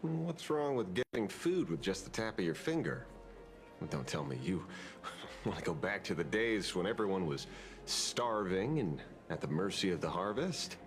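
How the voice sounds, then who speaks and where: A man playing a sharp-witted, sardonic detective in a video game, with an expressive delivery. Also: on the low side. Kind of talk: dramatic video game voice acting. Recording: clear background ambient noise.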